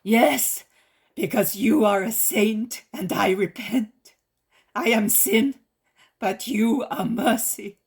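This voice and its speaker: A desperate, repentant leper woman begs for cleansing in a raised, higher, fervent voice, as a woman reader puts her on. Breathy and insistent, in a devotional story reading. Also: slow, darkish tone, full character voice. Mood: pleading. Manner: pleading, fervent. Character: repentant female leper, once a beauty, desperate.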